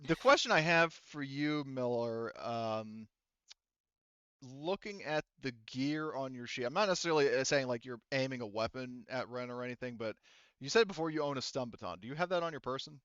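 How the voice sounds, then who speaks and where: A man working as game master, narrating and refereeing a tabletop roleplaying game in a halting, informal, conversational way. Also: mid-range voice, lively intonation, a bit bright, clean tone, no echo, dull audio.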